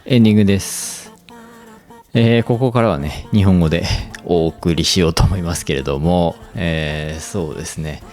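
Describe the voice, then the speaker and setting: A man's voice: deep voice, fast, darkish tone, soft background music. A man hosting a podcast, chatting casually in a hesitant, polite manner. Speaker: podcast host. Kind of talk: casual spoken podcast outro.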